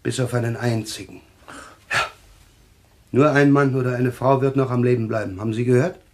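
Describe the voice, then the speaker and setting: A man's voice: mid-range voice, fairly even intonation, a little fast, a little mellow, a little hiss or hum, close to an everyday voice. An actor playing a desperate, agitated man who calls himself a prophet, pleading insistently in a German thriller radio play. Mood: grave warning.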